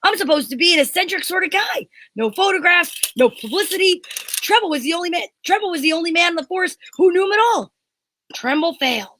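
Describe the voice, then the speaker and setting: A woman playing a flustered, excitable male police inspector in an old-time radio style drama, melodramatic and exclamatory. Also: very high voice, a little fast, a little hiss or hum, exaggerated voice. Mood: worried.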